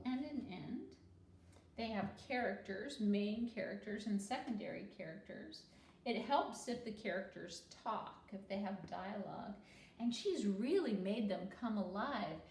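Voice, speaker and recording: Slow, expressive woman reading to children. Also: medium pitch. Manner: deliberate, teacherly, explanatory. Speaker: read-aloud storyteller. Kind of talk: expressive children's read-aloud with teacherly commentary. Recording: roomy echo, faint background noise.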